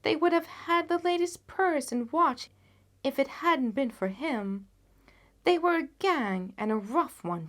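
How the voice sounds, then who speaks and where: An agitated onlooker in the street from a classic storybook, acted out by a woman narrator. The calls come out loud and urgent, full of exclamation. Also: high voice, pitched above the performer's own voice, exaggerated voice. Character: agitated street bystanders calling out over an injured man.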